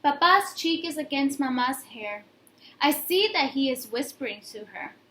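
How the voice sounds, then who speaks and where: A woman's voice: high voice, animated, normal speed, bright, forceful tone, a little hiss or hum. A woman reading a children's book aloud as narrator. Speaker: story reader. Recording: echoey room.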